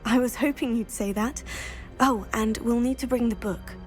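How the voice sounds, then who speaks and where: A young woman voices an eager witch student in a video game, chatty and quick to share news. Her voice sits fairly high, with faint game music behind her. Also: natural voice. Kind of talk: video game cutscene acting.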